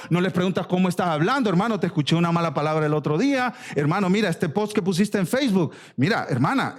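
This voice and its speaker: A man with a Latin American Spanish accent preaching an expository sermon as a pastor, emphatic and exhorting, repeating phrases and asking rhetorical questions. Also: on the high side. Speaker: pastor preaching to his church.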